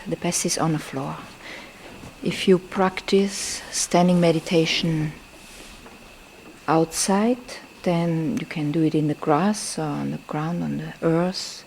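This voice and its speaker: A woman who is a non-native English speaker leads a guided meditation as a teacher, speaking softly and breathily, often near a whisper, in gentle, deliberate short phrases with pauses. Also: fairly low pitch, gravelly, rough recording. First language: not a native English speaker. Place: temple in Thailand. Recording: faint background noise, live field recording.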